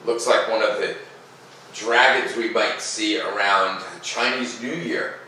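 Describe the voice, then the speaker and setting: Male read-aloud host, informal and expressive, slightly low voice, recorded at a distance in a boomy, reverberant living room. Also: slow pace. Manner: informal, expressive when reading. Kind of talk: picture-book read-aloud with casual asides. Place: living room by a fireplace, indoors. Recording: echoey room, distant mic, faint noise.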